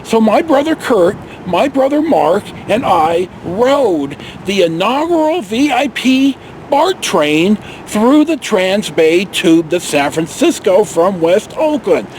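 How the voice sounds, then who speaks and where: A man's voice: high voice, sing-song, takes it slowly, hardly any pauses, a little mellow, a little hiss or hum. An older American man tells personal stories in a casual, folksy, rambling way, as a vlog host speaking outdoors. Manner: folksy, digressive, mild swearing. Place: outdoors in a park.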